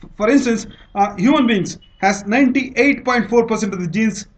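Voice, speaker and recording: A man with a distinctly high voice and an Indian accent speaks as a teacher. He explains step by step in a didactic way, repeating phrases and pausing to check understanding. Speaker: teacher, lecturer. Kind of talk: explanatory exam-prep lecture.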